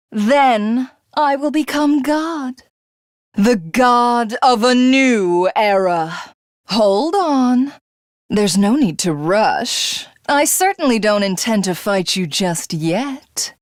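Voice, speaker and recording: Video game villainess: pompous would-be goddess, formal and theatrical, unhurried pace, very dry recording. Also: female voice, medium pitch, lively intonation, no room sound. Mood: grandiose. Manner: pompous, formal, grandiose, condescending. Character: haughty female alien warlord craving godhood.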